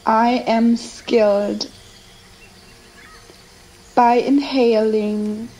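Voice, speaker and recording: A woman narrating a scripted guided meditation with affirmations, in measured short phrases separated by long pauses. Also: a little high, darkish tone, slight background noise. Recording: nature ambience behind the voice. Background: nature and ambient sounds.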